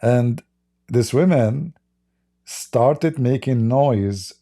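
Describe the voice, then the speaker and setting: Mellow-voiced sarcastic male commentator. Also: medium pitch, very slow. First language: not English. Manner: sarcastic, laughs often, broken English. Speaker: livestream host. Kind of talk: mocking commentary on video clips.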